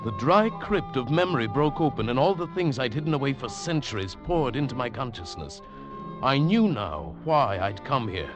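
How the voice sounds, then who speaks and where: A man's voice: mid-range voice, big pitch swings, moderate pace, highs cut off, close to an everyday voice. An actor playing a haunted, tormented man telling of his ordeal with witchcraft, fervent and theatrical in 1940s radio style. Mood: tense, brooding. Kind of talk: 1940s radio drama acting, dramatic first-person narration. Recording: old radio broadcast with loud musical backing. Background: dramatic music.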